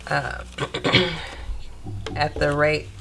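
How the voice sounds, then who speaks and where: A young woman with a stuffy nose from a cold, chatting casually to viewers while counting out cash in a steady murmur. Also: sits low, extremely slow, gravelly, noisy background. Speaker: budgeting vlogger.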